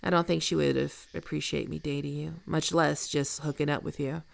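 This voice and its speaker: Woman acting a very creaky, teasing flirt. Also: sits low, little pitch movement, no room sound. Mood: flirtatious teasing. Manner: casual, teasing, unhurried. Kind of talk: intimate ASMR roleplay acting.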